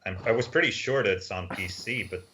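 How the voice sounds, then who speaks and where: A man's voice: fairly low pitch, brisk, sharp tone. A man chatting casually as a panellist on a gaming podcast. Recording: noisy voice track with clicks.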